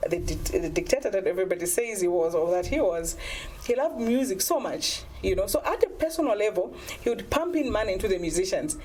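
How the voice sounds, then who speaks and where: A woman's voice: mid-range voice, darkish tone, low-quality recording. Woman guest, middle-aged, from Zambia, persuasive advocate, mid-range voice, faint hiss behind. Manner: earnest, persuasive, frequent "you know" fillers. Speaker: guest, former singer and music advocate. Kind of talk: interview answers.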